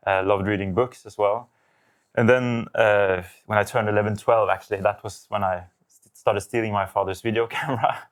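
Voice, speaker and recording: A man from Norway, a film director, answering interview questions thoughtfully, with many fillers and restarts. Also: fairly low pitch.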